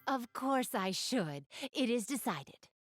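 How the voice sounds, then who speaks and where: Casting: elegant young goddess for a video game, proper and gently teasing, fairly high voice, unhurried, dry studio sound. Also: female voice, very animated, music in the background. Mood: musing. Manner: polite, elegant, formal diction. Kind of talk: video game voice acting, speaking to the player.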